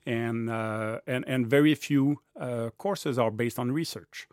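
A man's voice: mid-range voice, takes it slowly, darkish tone, smooth, no room sound, studio quality. A man speaking as a guest researcher in an interview, hesitant and often repeating words. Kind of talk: interview answers.

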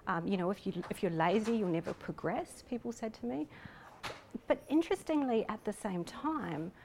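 A woman's voice: medium pitch, expressive, normal speed, creaky, a little mellow, a little hiss or hum. A woman with a New Zealand accent, speaking thoughtfully and hesitantly as an interviewed researcher, with frequent you-knows. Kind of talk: reflective interview talk.

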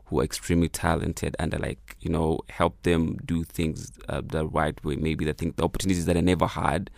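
A man's voice: a bass voice, level tone, fairly quick, creaky, no room sound, studio quality. A man who grew up in Kenya, with Swahili as his first language, talks reflectively as a musician guest in a casual interview, full of fillers and restarts. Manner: reflective, many fillers and restarts, laughs easily.

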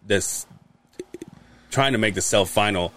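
A man with a fairly low voice chats on a podcast, groping for words and restarting as he explains. His delivery is loose and unpolished. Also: a bit bright. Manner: halting, restarts while explaining. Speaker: podcast co-host. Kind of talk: casual chat.

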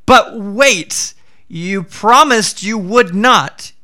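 A man's voice: high-pitched, drawn-out words, sharp tone, voice pushed up, exaggerated voice. A man playing an anxious, earnest Brahmin.

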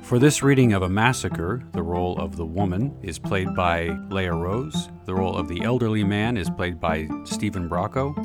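Loud guitar music plays behind a man announcing a play reading. His voice is low and he reads from a prepared script. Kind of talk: scripted narration. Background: loud plucked guitar music.

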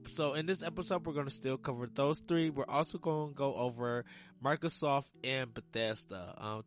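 A man hosting a casual solo gaming podcast, a little hoarse from a sore throat, rambling loosely with many ums and uhs. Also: mid-range voice. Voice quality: raspy. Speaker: podcast host.

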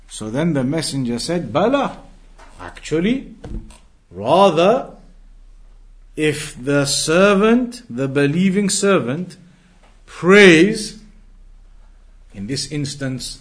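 A man with a slightly high voice teaches a religious lesson. He explains in a didactic way, repeating and rephrasing key phrases so each point lands. He moves between quoted texts and plain explanation. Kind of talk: explanatory Islamic lesson, translating quoted Arabic into English.

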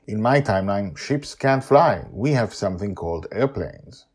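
Brief: a man reading as an anxious, time-lost man, low voice that swings widely when upset. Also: expressive, moderate pace, husky. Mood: worried. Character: distressed man lost out of his own time. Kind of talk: storybook reading.

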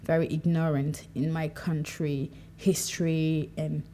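A young woman from Cameroon speaking in a relaxed, reflective monologue, livening up when she quotes herself. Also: sits low, measured pace. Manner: conversational, animated when quoting herself. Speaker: podcast speaker.

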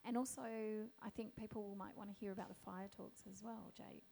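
A woman from Australia moderating a panel discussion, her voice croaky, talking in a thoughtful, halting way with many fillers. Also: medium pitch, fairly even intonation, mellow.

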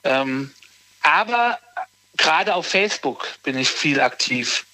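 High-voiced hesitant man in his thirties. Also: expressive, slow, sharp tone, dry recording, poor audio. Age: 30s. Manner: hesitant, restarts often, lots of fillers. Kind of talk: casual conversation.

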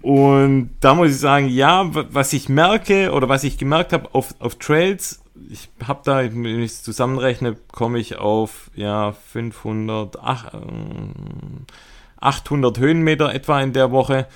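A man's voice: medium pitch, a little slow. A man co-hosting a podcast, chatting casually about his running. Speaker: podcast co-host.

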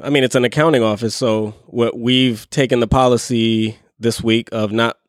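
A man's voice: medium pitch, fairly even intonation, moderate pace, no echo, studio quality. A man chatting casually with friends about his work, easygoing and laughing as he tells stories. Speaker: guest. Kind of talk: casual group chat.

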